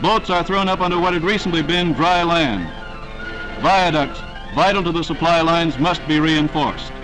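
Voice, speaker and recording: A man with a fairly high voice delivers scripted wartime newsreel narration in a dramatic, declamatory way. The sound is that of a restored 1940s film soundtrack, with a prominent music score playing behind him. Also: moderate pace, poor audio.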